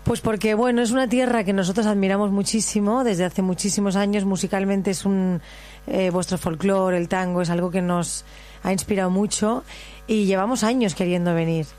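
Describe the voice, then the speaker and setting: A woman's voice: mid-range voice, steady tone, moderate pace. Flamenco singer, a woman guest. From Barcelona, Spain. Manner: warm, laughs easily, explanatory. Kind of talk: casual radio interview.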